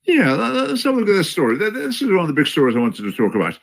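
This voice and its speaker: A man speaking in a measured, hesitant way in a video commentary, with many fillers and restarts. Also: sits high, huge pitch swings, gravelly. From Scotland. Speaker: commentator in a played clip.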